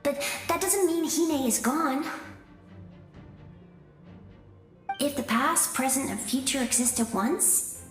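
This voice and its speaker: A woman voicing an affectionate, cheerful young woman, a devoted little sister in a video game, with tender, expressive delivery. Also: sits high, unhurried, roomy sound, faint music behind. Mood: tender, wistful.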